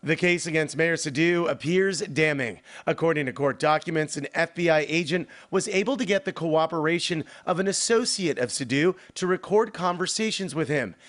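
A man reporting a political story for local TV news from the studio, in a measured newscaster delivery. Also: a little high, a bit bright.

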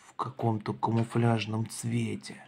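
A man with a creaky, gravelly voice talks playfully to a child in short, simple phrases. He keeps asking questions and encouraging the child along. The home recording carries faint noise and the clicks of toys. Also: on the low side, completely flat delivery, measured pace, darkish tone. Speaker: adult playing with and questioning a child in a toy car show. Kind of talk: casual playful chat with a child. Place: indoors at a wooden table.

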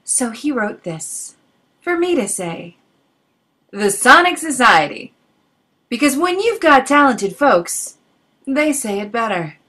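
Bright, animated female promo voice. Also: fairly high pitch, slow pace, pauses often. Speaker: promo announcer. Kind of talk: scripted podcast promo.

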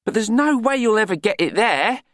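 A man's voice: sits high, lively intonation, moderate pace, no echo, studio quality, pitched above the performer's own voice. A man voicing a bossy, practical young woman in an audiobook, blunt, exasperated and scolding. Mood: alarmed.

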